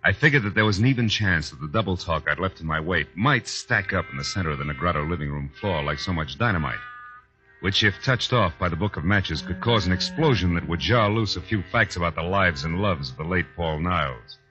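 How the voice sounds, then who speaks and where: An actor playing a tough, wisecracking American private detective in a 1940s radio drama, with a wry, clipped, hard-boiled delivery. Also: male voice, sits low, lively intonation, a little fast. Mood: wry narration. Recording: dull 1940s radio recording, faint music.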